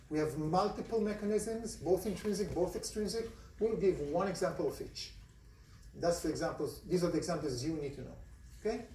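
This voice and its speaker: Israeli-accented lecturer in an echoey hall. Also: male voice, high-pitched, warm tone, a little hiss or hum. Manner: conversational, poses questions to the class. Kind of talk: university lecture. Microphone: phone recording from the audience seats. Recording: distant, roomy lecture hall recording.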